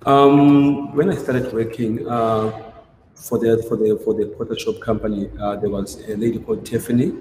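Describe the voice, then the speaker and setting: A man from South Africa talks about his work in a low voice, often restarting his sentences and hesitating. He is recorded over a video call in a gallery room, so the sound rings with long reverb and a faint hiss underneath. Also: steady tone, normal speed, a little mellow. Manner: hesitant, many restarts and fillers. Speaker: ceramic artist. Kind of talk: conversational interview. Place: gallery showroom, indoors. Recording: roomy echo with faint noise.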